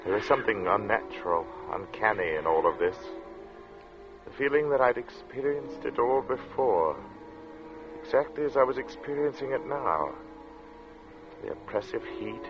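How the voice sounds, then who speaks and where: Low-voiced, brooding old-radio suspense narrator. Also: male voice. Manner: measured, literate, brooding. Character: uneasy, introspective gentleman narrator of an eerie tale. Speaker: narrator and protagonist. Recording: muffled old radio drama recording.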